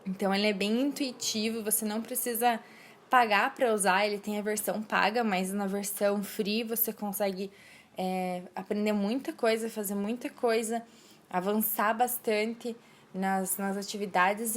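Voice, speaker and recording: A young woman hosting a casual solo podcast, talking earnestly with frequent hesitations and restarts. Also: fairly high pitch. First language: Portuguese. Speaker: podcast host.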